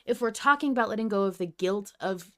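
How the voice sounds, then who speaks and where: A woman's voice: mid-range voice, quick pace, pushed and bright. A woman hosting a podcast, talking casually about a story with her co-host. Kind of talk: casual discussion of a fiction podcast.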